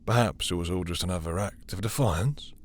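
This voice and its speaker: A man playing a possessive, dominant vampire lord in intimate roleplay audio, with a husky, breathy voice and a teasing, seductive, condescending manner. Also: deep voice, a little fast. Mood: teasing, smug. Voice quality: husky, breathy, dropping into whispers.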